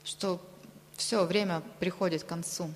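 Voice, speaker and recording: A woman interpreting a sermon aloud, delivering it phrase by phrase in a halting way. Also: on the low side, steady tone, a little hiss or hum. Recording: long reverberant hall sound.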